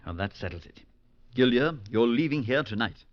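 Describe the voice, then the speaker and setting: A man's voice: mid-range voice, lively intonation, normal speed, natural voice. An actor playing a worried but firm male friend in a 1940s radio drama, reassuring and taking charge. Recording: dull old radio broadcast with crowd.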